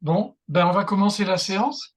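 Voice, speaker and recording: Une animatrice au timbre soufflé et légèrement éraillé mène la séance avec des consignes brèves. Sa voix reste dans un registre moyen, au micro d'un appel vidéo. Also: female voice, mid-range voice, normal speed. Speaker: EFT session leader. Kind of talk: guiding a group tapping session. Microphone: video call.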